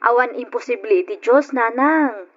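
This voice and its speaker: A woman's voice: on the high side, normal speed, muffled recording, natural voice. A woman with an Ilocano accent playing a dutiful, devout, hardworking daughter in an old radio drama. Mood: earnest. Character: dutiful, devout, hardworking daughter supporting her poor family.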